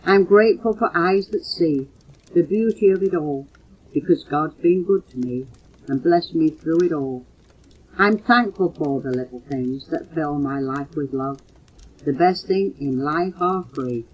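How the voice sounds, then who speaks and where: An older woman reading poems aloud in a measured, earnest way, recorded on a muffled old home cassette. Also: low voice, husky. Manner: measured, earnest recitation, announcing titles and authors. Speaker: mother reading poems for her children. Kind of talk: recited poetry reading.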